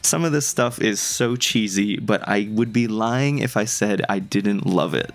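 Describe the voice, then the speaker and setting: Young guy doing a video essay, lowish voice, chatty and into it, guitar music behind him. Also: measured pace, vocal fry. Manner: conversational, casually enthusiastic. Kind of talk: scripted video essay narration with casual asides.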